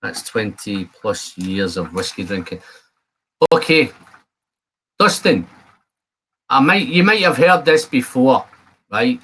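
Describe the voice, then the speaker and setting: A man's voice: mid-range voice, expressive, slow pace, long pauses, a bit bright, breathy edge, low-quality recording. A man in his fifties with a Scottish accent, chatting casually to viewers as the host of a live stream. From Scotland. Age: 50s. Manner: chatty, addresses viewers by name.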